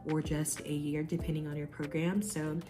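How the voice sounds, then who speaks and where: A young woman with a low voice shares advice in an earnest, chatty vlog style, with a few fillers along the way. Clear background music plays under her voice. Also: little pitch movement, moderate pace. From United States. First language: English. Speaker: vlogger.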